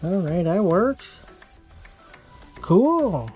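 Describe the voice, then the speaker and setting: A man from Minnesota giving relaxed, chuckling commentary while ice fishing inside a small shelter, picked up by an action camera. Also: high voice, very slow, soft background music. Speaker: fishing vlogger.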